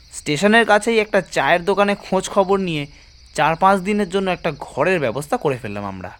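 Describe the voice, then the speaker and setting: Higher-voiced man, animated story narrator. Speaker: first-person story narrator. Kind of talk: scripted dramatic horror story narration.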